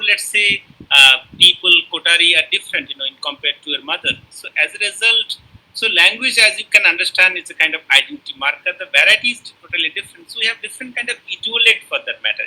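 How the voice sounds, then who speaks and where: A man gives an academic talk over a webinar call. His voice sits high and sounds very bright, almost sharp. He wanders through his points with many hesitations and "you see" tags. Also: breathy edge, low-quality recording. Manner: discursive, peppered with "you see" and "uh". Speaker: guest lecturer. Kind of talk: academic lecture and Q&A.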